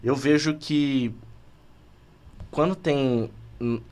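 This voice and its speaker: A man's voice: medium pitch, drawn-out words, a little mellow. Brazilian guy, 30s, podcast host, chatty and upbeat, keeps tossing in "né?". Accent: Brazilian Portuguese. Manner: friendly, promotional, with frequent "né?" tags. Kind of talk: conversational podcast interview.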